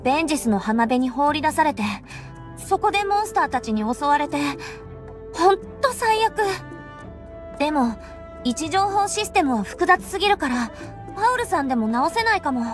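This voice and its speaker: Very high-pitched, eager anime girl. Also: moderate pace, music in the background. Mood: apologetic, fretful. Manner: lively, friendly, girlish. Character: chatty, eager teenage girl, a little sister. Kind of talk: Japanese anime game voice acting.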